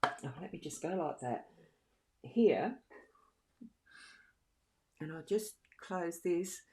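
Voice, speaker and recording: A woman's voice: lowish voice, lively intonation, slow, background noise. A woman live streamer and card reader talking casually and thinking aloud in a chatty, giggly, scattered way.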